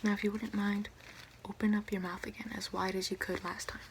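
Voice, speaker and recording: A young woman whispering slowly and deliberately in an ASMR roleplay as a doctor, breathy and close to the mic. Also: medium pitch, little pitch movement, slight background noise. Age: young adult. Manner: slow, deliberate, repetitive counting and instructions. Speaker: ASMR performer role-playing a doctor giving a check-up. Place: small room at home, indoors. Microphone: close to the camera or mic, seated.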